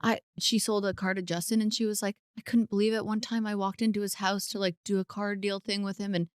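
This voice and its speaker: A woman in her late thirties from Canada, chatting casually as a podcast guest and telling bubbly stories with lively quoted asides. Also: medium pitch, fast, clean tone, dry recording, studio quality. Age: late 30s. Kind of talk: casual chat.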